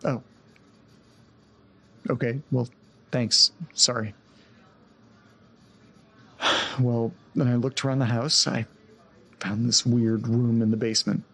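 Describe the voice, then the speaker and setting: A man playing a rattled, apologetic young man in an audio drama, speaking haltingly and stammering in a husky, creaky voice. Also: mid-range voice, level tone, a bit bright, heavily acted. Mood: resigned, uneasy. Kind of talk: naturalistic audio drama acting.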